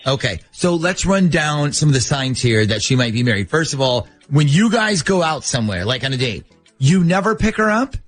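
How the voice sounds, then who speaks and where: Animated, higher-voiced male radio host. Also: normal speed, faint music behind. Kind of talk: phone-in radio banter.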